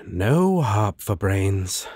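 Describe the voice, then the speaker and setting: A protective, exasperated demon from a fantasy audio drama, played by a man. He is blunt and sardonic, in a low, husky voice recorded very dry and close. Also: sing-song, very slow, a little mellow, dry recording, heavily acted. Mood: irritated. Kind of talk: fantasy audio roleplay acting.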